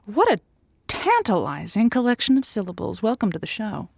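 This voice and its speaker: A woman's voice: medium pitch, huge pitch swings, normal speed, vocal fry, husky, no echo, clean studio sound, exaggerated voice. A woman playing a flirty, theatrical radio host on a call-in show, with coy, playful flourishes in a sitcom style. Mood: flirty, welcoming.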